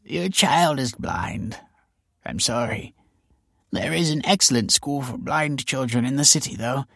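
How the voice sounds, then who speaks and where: A man voicing a grave, tactful hospital matron with a creaky, husky voice and careful delivery in an audiobook. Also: mid-range voice, unhurried, fairly bright tone, dry recording, clean studio sound, pitched above the performer's own voice. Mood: grave, gentle. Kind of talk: audiobook character acting.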